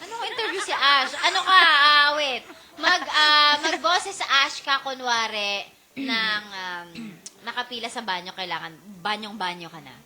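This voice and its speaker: High-pitched teasing Filipino woman co-host. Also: a little slow, pushed and bright. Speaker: radio DJ and co-host. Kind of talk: casual radio banter. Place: radio studio.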